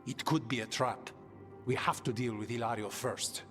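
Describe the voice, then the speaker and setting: An actor playing a cautious spymaster in a video game, guarded and matter-of-fact. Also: male voice, medium pitch, fairly even intonation, normal speed, a bit bright, close to an everyday voice. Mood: cautious, measured. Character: cautious, measured male assassin spymaster. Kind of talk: video game character dialogue. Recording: music behind.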